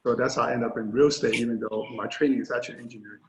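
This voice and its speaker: A man's voice: medium pitch, fairly even intonation, rapid-fire, slight background noise. An older man tells his life story as an interview guest, candid and self-deprecating, with many fillers. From Hong Kong. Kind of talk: storytelling in a panel conversation. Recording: roomy, dull lo-fi sound.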